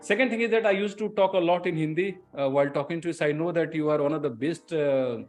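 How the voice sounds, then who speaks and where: A middle-aged man hosts an interview, rattling along at a fast clip. His voice sits slightly high, and he praises his guest freely. Faint music plays quietly under him. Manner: effusive and praising, laughs easily. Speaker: interview host. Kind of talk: unscripted conversational interview.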